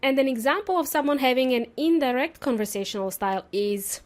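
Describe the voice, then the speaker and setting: A woman's voice: high voice, expressive, a little fast. A woman whose native language is Serbian, hosting an English-teaching podcast in an upbeat, playful and chatty way, often asking her listeners questions. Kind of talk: solo teaching podcast monologue.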